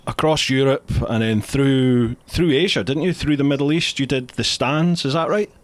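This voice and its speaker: A man's voice: mid-range voice, moderate pace, dry recording. A man hosting a podcast interview, asking questions in an easygoing way and chiming in with short affirmations. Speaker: podcast host. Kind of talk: casual interview chat.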